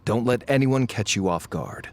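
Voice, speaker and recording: An actor playing a wary, sardonic faction agent in a video game, formal and slightly scornful. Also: male voice, mid-range voice, lively intonation, normal speed, slight background noise, natural voice. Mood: scornful, cautioning. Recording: music behind the voice. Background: game music.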